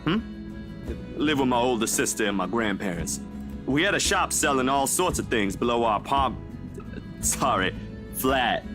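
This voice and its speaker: A man's voice: medium pitch, animated, normal speed, pauses often, over music, close to an everyday voice. An actor playing an easygoing, modest young male photographer in a visual novel game. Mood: casual, open.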